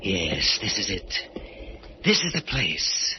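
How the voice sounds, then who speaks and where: A man's voice: low voice, sing-song, noisy background, exaggerated voice. A man playing a menacing killer who believes he is Death, in brooding, theatrical old-time radio horror style. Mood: brooding menace. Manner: brooding, theatrical, deliberate soliloquy. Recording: muffled old radio recording with music.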